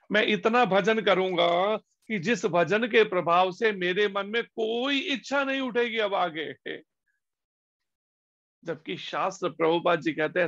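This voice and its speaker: A man giving a devotional discourse as a spiritual teacher, slowing down on key words and asking rhetorical questions. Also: sits high, a bit bright. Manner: slow, deliberate emphasis with pauses on key words. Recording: dull lo-fi recording.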